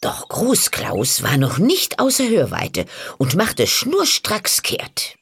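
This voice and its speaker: Casting: woman narrator for fairy tales, deep husky tone, unhurried, expressive delivery. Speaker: storyteller, narrator. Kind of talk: scripted fairy-tale narration.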